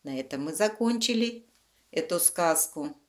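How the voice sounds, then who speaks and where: Slow, low-voiced woman storyteller. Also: lively intonation. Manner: fond diminutives, explaining to children. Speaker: children's book reader, channel host. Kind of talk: storytelling for young children, with simple asides on the pictures.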